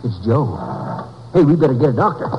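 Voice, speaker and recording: An actor playing an earnest, plain-spoken Texas sheriff with a Texan accent in an old radio crime drama. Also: male voice, fairly high pitch, expressive, very noisy, natural voice. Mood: grim. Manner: folksy, quick, earnest. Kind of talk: old-time radio western crime acting. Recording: dull lo-fi vintage radio recording.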